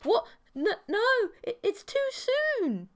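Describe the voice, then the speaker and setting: Woman voicing an awkward, animated young boyfriend. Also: very high-pitched, slow pace, voice pushed up, heavily acted. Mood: flustered. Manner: hesitant, stammering. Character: awkward, easily flustered geeky young man, submissive boyfriend.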